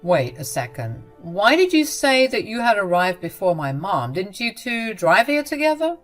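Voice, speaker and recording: A woman's voice: mid-range voice, big pitch swings, normal speed, soft background music, lightly acted. A woman voicing a protective young husband who talks in an incredulous, demanding way. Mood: puzzled.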